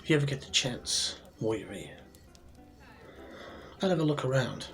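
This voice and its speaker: A man acts out a proud, friendly barkeep in a fantasy ASMR tale. His voice is soft and breathy with plenty of creak, drifting close to a whisper. He rambles along unhurriedly with a lively rise and fall, while music plays behind him. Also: mid-range voice. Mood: gentle, chatty, proud. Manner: unhurried, chatty, rambling with pauses. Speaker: roleplay performer. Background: soft music and tavern ambience.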